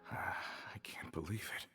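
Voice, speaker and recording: An actor playing an easygoing, hearty gang member in a video game, chatty and emphatic. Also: male voice, extremely slow, pushed and bright. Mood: shocked, grieving. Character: easygoing adult man, hearty gang member back from prison. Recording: music behind the voice.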